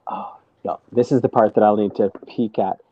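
A man telling stories as a guest, talking in a hesitant, halting way with many restarts, seated at home on a video call. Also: fairly low pitch, fairly even intonation, dull audio. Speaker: storyteller guest. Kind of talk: casual conversation.

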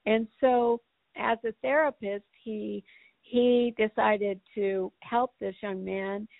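A woman co-hosting a podcast, earnest and hoarse from a cold, clearing her throat now and then. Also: medium pitch, no echo. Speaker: podcast co-host. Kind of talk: casual podcast talk. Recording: dry, tinny phone-like sound.

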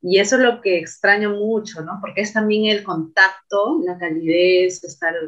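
A woman's voice: medium pitch, fairly even intonation, moderate pace, a bit bright. A woman guest on a radio interview, talking reflectively with many fillers and tag questions. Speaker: interview guest, cultural manager. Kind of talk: casual conversation on radio. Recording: dull lo-fi radio audio.

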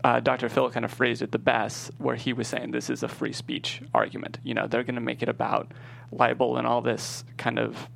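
Man, screenwriter guest, strong vocal fry, brisk pace, conversational, explanatory, casual interview. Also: medium pitch, little pitch movement, a bit bright, a little hiss or hum.

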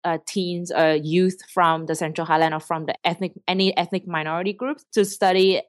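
Dry, low-voiced woman with a Vietnamese accent. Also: fairly even intonation, moderate pace, dry recording. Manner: earnest, explains at length with rephrasings. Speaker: startup cofounder guest. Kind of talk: interview answers.

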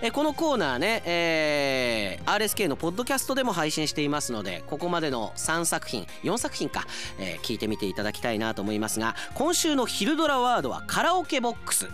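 A man's voice: a little high, wildly swooping pitch, fast, few pauses, fairly bright tone. A male radio announcer presenting a segment and narrating a scripted radio drama. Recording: music bed behind the voice.

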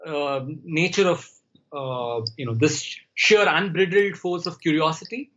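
A man from India, a Tamil speaker, talking slowly and thoughtfully as a podcast guest over a remote call. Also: a little high, fairly bright tone, muffled recording, a little hiss or hum. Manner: slow, searching, thinks aloud. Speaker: guest storyteller. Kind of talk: reflective interview talk.

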